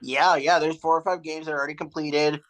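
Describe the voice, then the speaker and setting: A young man co-hosting a casual sports podcast, relaxed and a little hesitant, trailing off with ums. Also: a little high, quick pace. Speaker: podcast co-host. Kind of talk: casual chat.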